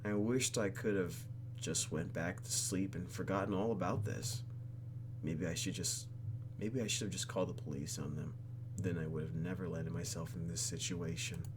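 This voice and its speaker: A man's voice: sits low, fairly even intonation, normal speed, noisy background. A man hosting a let's play, reading a game script aloud with joking, slangy asides.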